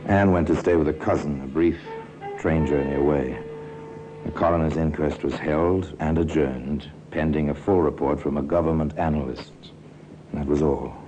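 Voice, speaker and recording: Very deep, dark-voiced crime narrator. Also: male voice, steady tone, soft background music. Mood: grave, wry. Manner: measured, ominous storytelling. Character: grave, wry crime-story narrator. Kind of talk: 1950s radio crime drama narration. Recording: hissy 1950s radio recording.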